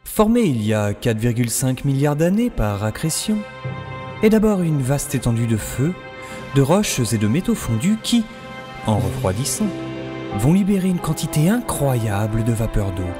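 A man playing the melodramatic narrator-hero of a comic science-fiction radio drama, with a husky voice. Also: mid-range voice, very animated, normal speed, over music, lightly acted. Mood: grandiose.

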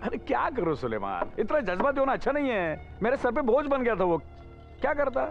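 High-pitched theatrical scheming politician acted by a young man. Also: sing-song, fairly quick, full character voice. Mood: smug, scheming. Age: young adult. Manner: theatrical, wheedling, repeats phrases. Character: scheming, corrupt politician who turns cowardly and pleading. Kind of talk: Bollywood gangster film spoof acting. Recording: muffled audio with background music.

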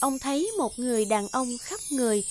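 A woman's voice: high-pitched, expressive, brisk, darkish tone, faint music behind. A woman narrator reading a scripted fairy tale for a cartoon.

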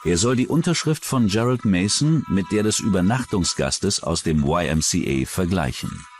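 Deep-voiced male true-crime narrator. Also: lively intonation, normal speed, soft background music. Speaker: documentary narrator.

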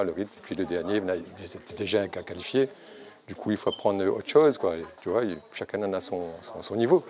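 A man's voice: deep voice, darkish tone. A man in his thirties with a Réunion accent, talking casually in an interview. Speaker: DJ teacher. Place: indoor room at an arts school.

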